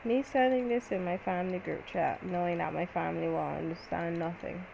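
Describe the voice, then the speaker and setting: A woman's voice: fairly low pitch, a little fast, highs cut off. A woman chatting casually with friends as she plays a game, playful and slangy, often thinking aloud. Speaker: gaming vlogger. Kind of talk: casual gaming chat with friends. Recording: clear background noise.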